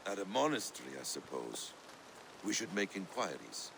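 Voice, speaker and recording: A man playing a cool, enigmatic warrior companion in a video game, speaking in a quiet, understated way. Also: low voice, sing-song, normal speed, vocal fry, noisy background, full character voice. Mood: cool, inquiring. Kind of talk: dramatic video game dialogue.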